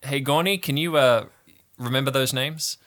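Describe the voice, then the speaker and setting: A man's voice: medium pitch, normal speed, fairly bright tone, voice pushed up, lightly acted. Man doing a breezy teenage dude cult leader. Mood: breezy, self-important. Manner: slangy surfer-dude drawl, breezy and self-important. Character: laid-back fifteen-year-old boy, self-elected camp cult leader.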